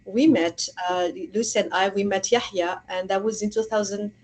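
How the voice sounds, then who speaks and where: A woman's voice: medium pitch, fairly even intonation, moderate pace. A woman explaining her work conversationally as an academic guest in a radio interview. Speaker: returning guest, academic festival organizer.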